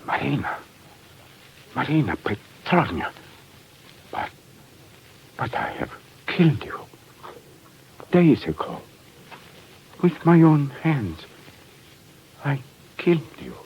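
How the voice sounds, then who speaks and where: An actor playing a cruel, blustering Russian commandant who is a coward underneath, in 1940s radio horror style. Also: male voice, fairly high pitch, big pitch swings, takes it slowly, pauses often, dull audio, exaggerated voice. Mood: frightened, bewildered. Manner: barking, bullying, turning to pleading. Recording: noisy vintage 1940s radio recording.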